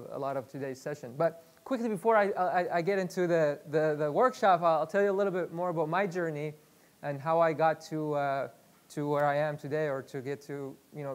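A man in his thirties from Iraq giving a live workshop talk in a lecture room, chatty and loose, repeating words and using many fillers. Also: fairly high pitch. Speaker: guest speaker. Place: lecture room with projector screen.

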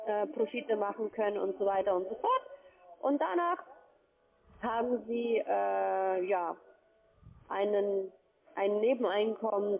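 A woman making a telephone sales pitch over a narrow phone line.

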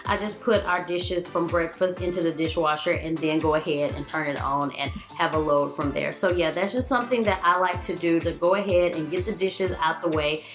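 A woman's voice: fairly low pitch, roomy sound. A young woman vlogger giving casual, upbeat home cleaning tips, speaking to her viewers. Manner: chatty, upbeat, addresses viewers as you guys. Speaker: lifestyle vlogger. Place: large home, bedroom.

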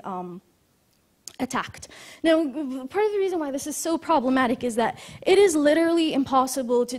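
Woman from Lebanon, high-pitched voice, conference lecture, halting earnest delivery. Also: a little mellow. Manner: many fillers and restarts, earnest explaining. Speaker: conference speaker. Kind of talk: lecture talk.

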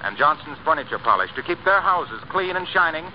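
Animated high-pitched 1930s male radio announcer. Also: fairly quick. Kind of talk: 1930s radio commercial, scripted sales pitch. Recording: dull, faintly noisy 1930s radio recording.

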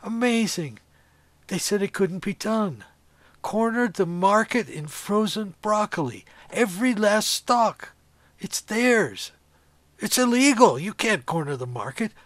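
A man's voice: high voice, a bit bright, no room sound, exaggerated voice. Man playing a dazed veteran trader, dry sound. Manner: disbelieving, repetitive, clipped phrases. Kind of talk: satirical radio comedy acting.

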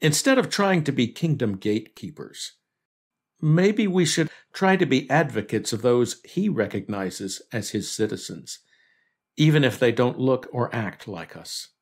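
Male devotional host, mid-pitched, measured earnest read, scripted scripture reflection. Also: big pitch swings, husky.

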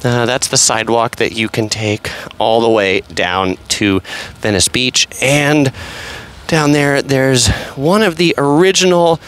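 A man's voice: mid-range voice, a little slow, fairly bright tone. A man casually narrating an outdoor walking tour on a pier, chatty and rambling, full of fillers and asides. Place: outdoors on an empty seaside pier.

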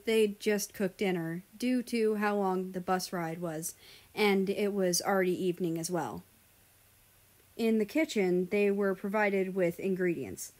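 A woman reads fan fiction aloud as a narrator. Her voice is mellow and soft-edged, sitting at a middling pitch as she tells the story. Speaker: audiobook reader of fan fiction.

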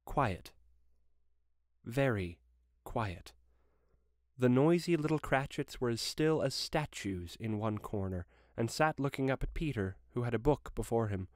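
A man narrates a storybook dramatically. His pitch moves in lively sweeps, and the sound is dry and close. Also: measured pace, dry recording.

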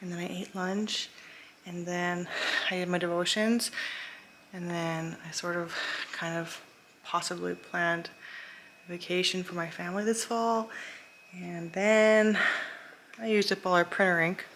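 A woman's voice: lowish voice, flat delivery, unhurried, pauses often, slight background noise, low-quality recording. Chatty young woman vlogging at home. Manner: rambling, chatty, often trails off with fillers; talking hushed, sometimes whispering. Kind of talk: casual chat to camera. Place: open-plan living room and kitchen at home. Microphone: handheld camera at arm's length.

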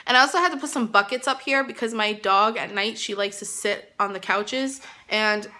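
Chatty bright-voiced mom vlogger. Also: female voice, medium pitch, normal speed. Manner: chatty, rambling, many "um"s and "like"s. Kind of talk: casual vlog chat to camera. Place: tiled living room at home, indoors. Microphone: phone selfie camera at arm's length.

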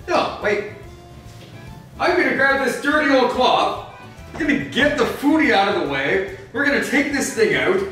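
A man's voice: high voice, pushed and bright, exaggerated voice. A man playing Batman as a flustered host of a comedic cooking show, rambling through the steps and snapping into angry outbursts, in a home kitchen. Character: Batman, gruff brooding male superhero cooking. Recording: very echoey room, mic far off, faint music.

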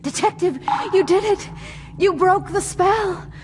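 A woman's voice: extremely high pitch, a little slow. A woman playing an intense, theatrical middle-aged spirit medium, solemn and dramatic, in a video game cutscene. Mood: relieved, fervent. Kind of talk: live-action video game cutscene acting. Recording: clearly noisy backdrop.

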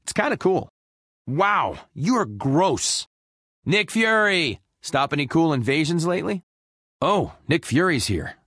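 A man's voice: on the high side, sing-song, slow, fairly bright tone, no room sound, studio quality, full character voice. A man voicing a cocky, goofy space outlaw hero in a video game, with flippant, sarcastic, playful swagger. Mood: teasing. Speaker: game character voice actor. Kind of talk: short video game voice lines, quips.

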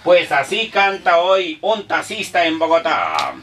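A man's voice: fairly high pitch, huge pitch swings, unhurried, fairly bright tone. A man from Colombia hosting morning radio, teasing the guest and playing for laughs. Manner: teasing, mangles song titles for laughs. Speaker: radio host. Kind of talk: playful morning radio banter. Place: busy radio studio, indoors. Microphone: studio mic with headphones. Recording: distant mic with faint noise.

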